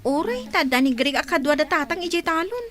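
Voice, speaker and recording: A woman's voice: sits high, very animated, a little fast, a bit bright. A woman playing a lazy young student daughter in a radio comedy drama, whining and complaining. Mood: whiny, complaining. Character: lazy, whiny young student daughter who shuns farm work.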